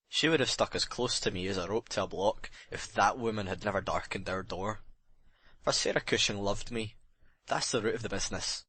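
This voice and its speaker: A man's voice: fairly low pitch, fairly bright tone, husky, dry recording. A man playing a bitter, remorseful sailor making a brooding, emphatic confession in a dramatic audiobook reading.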